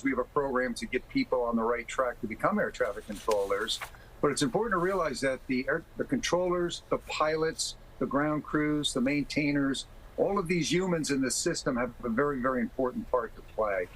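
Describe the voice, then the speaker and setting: A man speaking as an expert guest in a TV interview, explaining things in a measured, careful way. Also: medium pitch, a little hiss or hum, low-quality recording. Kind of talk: live TV interview.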